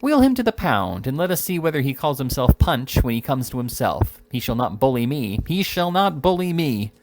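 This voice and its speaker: A man voicing a fierce, pompous little captain who barks orders in a high-pitched bluster. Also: very animated, brisk, background noise.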